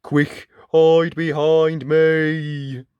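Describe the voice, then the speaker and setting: A reader playing a little tin can creature from a children's story. It talks slowly and bluntly, with a glum air of having no heart. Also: medium pitch, level tone, a little mellow, smooth, dry recording, lo-fi sound, voice pushed up. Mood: urgent.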